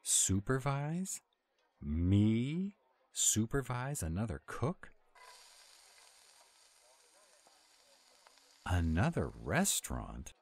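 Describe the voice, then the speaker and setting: A man playing a grumpy, self-doubting were-dragon cook in a roleplay audio drama, gruff but tender and grumbling reluctantly. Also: lowish voice, wildly swooping pitch, takes it slowly, darkish tone, exaggerated voice. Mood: anxious, incredulous.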